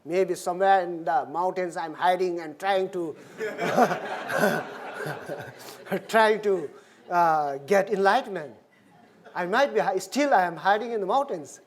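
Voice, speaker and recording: A woman Buddhist minister giving a dharma talk, telling a story in halting non-native English with frequent restarts. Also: lowish voice.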